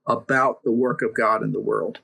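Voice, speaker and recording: A man's voice: lowish voice, steady tone, normal speed, creaky, sharp tone, slightly rough. A male interview guest speaking earnestly, often restarting and repeating words as he recounts his work. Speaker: podcast guest, missions filmmaker. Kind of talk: conversational interview.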